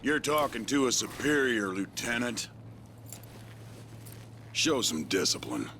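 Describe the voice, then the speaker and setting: An actor playing a sadistic, vengeful former soldier in a video game, with a gravelly voice and a mocking, menacing drawl. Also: male voice, medium pitch, big pitch swings, slow pace, bright, forceful tone, full character voice. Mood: angry contempt. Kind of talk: cinematic video game acting. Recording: noisy game scene with clear background noise.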